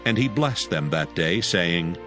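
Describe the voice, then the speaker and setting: A man's voice: deep voice, lively intonation, a little slow, music in the background. A man giving scripted narration of scripture for an audio drama.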